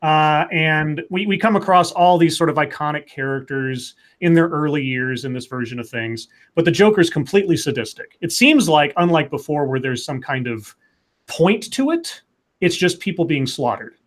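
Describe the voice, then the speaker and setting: Animated man pitching comic ideas. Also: moderate pace. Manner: pitches story ideas animatedly, swears casually. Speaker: podcast co-host. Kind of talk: casual live-stream chat.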